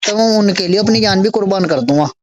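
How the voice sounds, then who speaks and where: A man's voice: high-pitched, fast talker. A man with a western Uttar Pradesh accent speaking fervently and insistently on a recorded phone call, repeating himself to press his point. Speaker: supporter of a local politician. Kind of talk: informal phone conversation.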